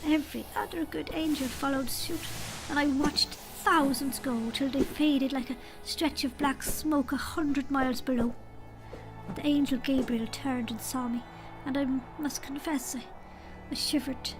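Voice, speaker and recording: A woman's voice: sits high, steady tone, unhurried, music in the background, rough recording. A woman playing a droll little leprechaun king, telling his tale in folksy phrasing in an audio drama. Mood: wry storytelling. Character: leprechaun king, small old male storyteller, droll and wry.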